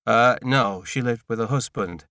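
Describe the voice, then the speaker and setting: A man's voice: mid-range voice, a little slow, sharp tone, dry recording, clean studio sound. Man acting an awkward, stammering neighbour. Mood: awkward, hesitant. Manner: hesitant, stammering. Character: awkward, bumbling male neighbour making small talk.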